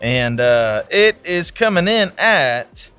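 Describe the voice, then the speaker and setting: A man's voice: on the high side, very animated, takes it slowly, bright, forceful tone, clean tone, soft background music. A man co-hosting a casual podcast from a cigar lounge, wisecracking and teasing. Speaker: podcast co-host. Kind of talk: casual chat.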